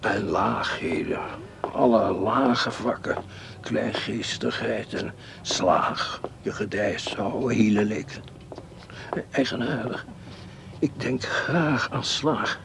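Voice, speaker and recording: An actor playing a scheming, greedy, darkly poetic doctor in a radio play, musing deliberately. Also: male voice, on the low side, creaky, noisy background. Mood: brooding, musing. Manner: musing, deliberate, talking to himself. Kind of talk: radio play acting, interior monologue and dialogue.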